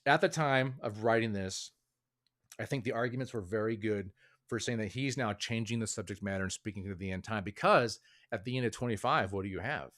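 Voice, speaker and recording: A man's voice: lowish voice, a little fast, fairly bright tone. A man as a podcast guest, explaining his views in a hedging, thoughtful way with many fillers. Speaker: author and guest. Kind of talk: casual interview talk.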